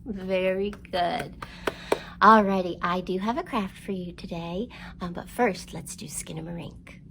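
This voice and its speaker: A woman presenting a storytime for young children, reading and explaining in an expressive, simple, encouraging way. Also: lowish voice, measured pace, creaky, noisy background. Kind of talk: read-aloud storytelling and instruction for young children.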